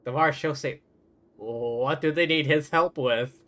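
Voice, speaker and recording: A man's voice: on the high side, measured pace, a bit bright. A man livestreaming with casual game reaction commentary, full of offhand, muttered asides.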